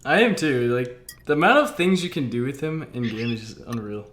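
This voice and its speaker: A man's voice: medium pitch, animated, fairly quick, a bit bright. A man hosting a casual livestream chat, talking enthusiastically and repeating himself for emphasis. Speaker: streamer host. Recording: roomy, echoing sound.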